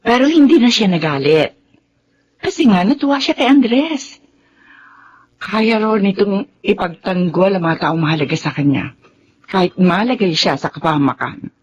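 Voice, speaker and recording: An actor playing an affectionate young adult in a Tagalog radio drama, husky and expressive. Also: medium pitch, moderate pace, pauses often, highs cut off, a little hiss or hum, close to an everyday voice. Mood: fond.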